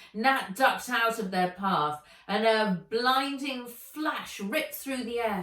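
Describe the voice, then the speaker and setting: Slow, animated woman reading a storybook. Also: medium pitch. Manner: dramatic, deliberate. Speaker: author reading own book aloud. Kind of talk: expressive children's storybook narration. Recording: echoey room, distant microphone.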